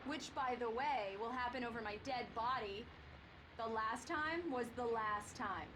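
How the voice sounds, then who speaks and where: A woman's voice: on the high side, unhurried, background noise, close to an everyday voice. A woman playing an affectionate, teasing wife in a video game, with an intimate, natural delivery. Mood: playful. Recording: music under the voice. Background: background music.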